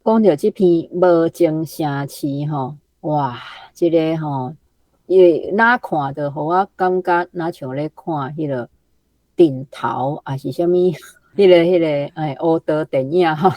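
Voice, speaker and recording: A woman's voice: sits low, slow, a little mellow, no echo. A woman with a Taiwanese accent casually discussing a book as a guest, in an exclamatory, reactive way. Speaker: guest commenting on a reading.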